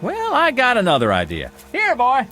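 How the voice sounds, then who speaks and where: An actor playing a folksy, coaxing gatekeeper of the afterlife in a vintage TV drama, with a rural Southern American accent. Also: male voice, very high-pitched, huge pitch swings, over music, heavily acted. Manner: folksy, coaxing, drawn-out phrasing. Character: folksy, wheedling male gatekeeper of a false heaven. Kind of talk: vintage television drama acting.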